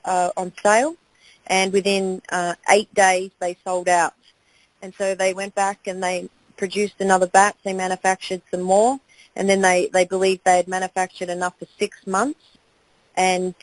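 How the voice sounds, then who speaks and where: A woman's voice: on the low side, darkish tone, dry recording. An Australian woman hosting a team phone call, upbeat and enthusiastic. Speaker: call host.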